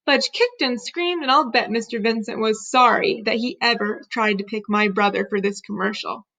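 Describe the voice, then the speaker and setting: A woman reading a children's book aloud as an expressive storyteller. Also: a little high, moderate pace, creaky. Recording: dull lo-fi recording.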